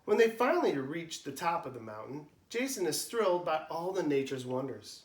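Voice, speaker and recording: A young male preschool teacher reads a picture book aloud in a sing-song way. His voice sits fairly high and swings widely. The room has a clear echo. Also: big pitch swings, moderate pace. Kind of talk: children's read-aloud.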